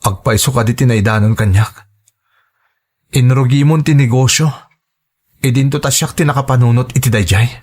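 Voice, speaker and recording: A man's voice: mid-range voice, moderate pace, long pauses, darkish tone, a little hiss or hum, close to an everyday voice. A man playing a hurt, indignant young son who feels betrayed, in melodramatic audio drama style. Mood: accusing. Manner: emotional, reproachful.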